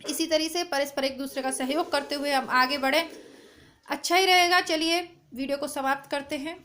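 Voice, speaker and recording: A woman teacher with a strained, hoarse voice, chatting to her students through an exam syllabus, recorded at home without a proper mic. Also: high-pitched, steady tone, moderate pace, bright, forceful tone, a little hiss or hum. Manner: chatty, reassuring, addresses students directly. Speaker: Hindi exam-prep teacher. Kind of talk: informal lesson to camera. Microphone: no proper mic, phone or device built-in.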